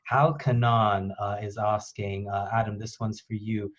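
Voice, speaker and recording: A man's voice: fairly low pitch, little pitch movement, a little slow. A man moderating a panel discussion as host of a conference call. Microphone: conference call line. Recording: dull, band-limited conference call line.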